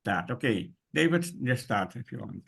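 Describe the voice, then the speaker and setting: A man moderating an online discussion in an informal way, speaking haltingly and searching for his words. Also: mid-range voice, very animated, creaky, breathy edge. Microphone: online video call.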